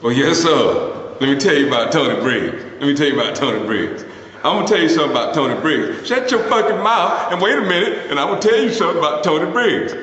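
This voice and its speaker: A man's voice: a little high, lively intonation, fast, a bit bright, dull audio. An actor playing a brash, swaggering, foul-mouthed man in a theatrical stage performance. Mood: brash, commanding. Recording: very echoey theatre hall.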